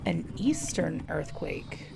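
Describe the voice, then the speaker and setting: An actress playing a casual, level-headed scientist in an audio drama, with an offhand, dry way of talking. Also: female voice, deep voice, sing-song, slow, gravelly, music in the background, exaggerated voice, poor audio. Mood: focused, puzzled.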